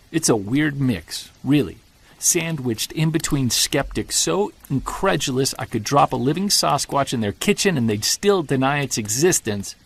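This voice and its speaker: An earnest, sardonic male Bigfoot believer played by an actor delivers an argumentative audio drama monologue. His slightly high voice swings with lively pitch as he presses each indignant point. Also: creaky, exaggerated voice. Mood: sardonic, frustrated. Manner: emphatic, argumentative, sardonic, rhetorical. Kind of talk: audio drama monologue, podcast-style rant.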